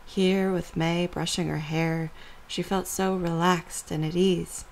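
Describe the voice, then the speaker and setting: A woman's voice: on the low side, flat delivery, takes it slowly, vocal fry, poor audio. A woman narrator reading a scripted story for an audio drama.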